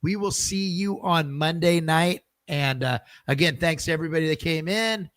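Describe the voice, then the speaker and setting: A man's voice: on the high side, normal speed, fairly bright tone, dry recording, studio quality. A man hosting a sports podcast, talking casually in a loose, joking, slightly rambling way. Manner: jokey, loose, a bit rambling. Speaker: podcast host. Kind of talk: casual podcast sign-off chat.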